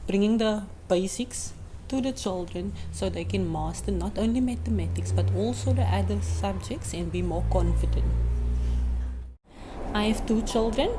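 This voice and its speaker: A woman's voice: medium pitch, noisy background. A South African woman whose first language is Afrikaans, in her thirties, a maths tutor and mother giving an earnest, unscripted testimonial with frequent hesitant ums. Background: music.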